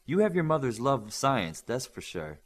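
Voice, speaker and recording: A man voicing a brisk adult male coach, kindly but firm, in an audiobook. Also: mid-range voice, very animated. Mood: approving.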